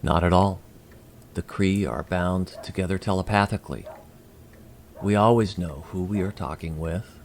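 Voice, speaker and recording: A man playing a courteous, calm alien emissary in an audio drama, speaking formally and with measured politeness. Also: low voice, slight background noise.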